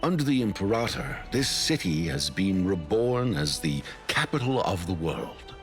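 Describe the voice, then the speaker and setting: A man's voice: low-pitched, sing-song, normal speed. A man playing a menacing loyalist of an empire in a video game, speaking in a smug, ominous and formal way. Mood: smug menace. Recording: music behind the voice. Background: music.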